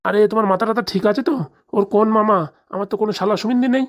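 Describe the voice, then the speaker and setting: A cartoon actor plays an anxious father near retirement with a rural Bengali accent. He talks extremely fast, rambling on in an aggrieved, lamenting way, like a man forever complaining in a village comedy. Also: medium pitch, lightly acted. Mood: alarmed. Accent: Bengali, rural dialect. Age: middle-aged. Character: anxious, aggrieved father nearing retirement, fretting over lost money.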